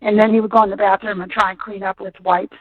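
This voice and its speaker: A woman's voice: medium pitch, flat delivery, fast talker, dry recording. An American woman, a school nurse, recounting what she remembers in a rambling, conversational phone interview, often qualifying her memory. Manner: rambling, conversational, hedges recollections. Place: on a telephone call. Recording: muffled, dull phone call audio.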